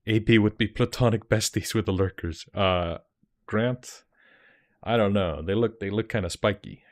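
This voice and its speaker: A man's voice: fairly low pitch, normal speed. A young man with an Icelandic accent, chatting casually with viewers on a livestream and answering their comments with rambling restarts. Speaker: streamer and dungeon master.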